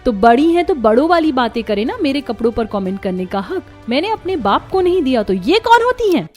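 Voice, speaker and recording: A woman plays a spoiled young city wife in a cartoon story. Her voice is high and she talks in a haughty, demanding way, sprinkled with English words. Music plays behind her. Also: very animated, fairly quick, a little mellow. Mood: angry, indignant. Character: spoiled, brash young modern city daughter-in-law. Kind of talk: Hindi cartoon story dialogue.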